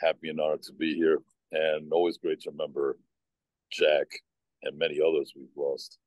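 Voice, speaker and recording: A man's voice: very deep voice, monotone, moderate pace, a little mellow, dry recording. A man in his forties reading poetry into a phone held at arm's length on a video call. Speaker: poet.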